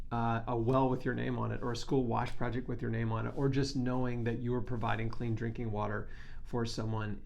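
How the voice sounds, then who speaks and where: Male video host for fundraising pitch. Medium register, casual but earnest, emphatic, talks straight to the viewer. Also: creaky, a little mellow. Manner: conversational, emphatic, with rhetorical check-in questions. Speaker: video host making a charity appeal.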